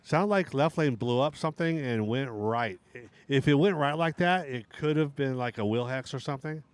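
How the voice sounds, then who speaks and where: A middle-aged man with a hoarse voice, casually commentating a live outdoor RC drag race. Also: medium pitch, moderate pace. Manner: casual, rambling banter with restarts.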